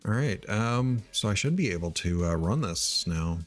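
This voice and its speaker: A middle-aged man from Missouri thinking aloud while he writes code, halting with many ums and uhs. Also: fairly low pitch, lively intonation, faint music behind. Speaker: live-coding streamer.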